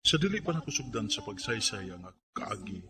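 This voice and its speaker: A man's voice: on the low side, big pitch swings, a little fast, pushed and bright. An actor with a Philippine Bisaya accent playing an earnest young man, a son out courting, in a radio drama. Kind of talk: radio drama acting and first-person narration.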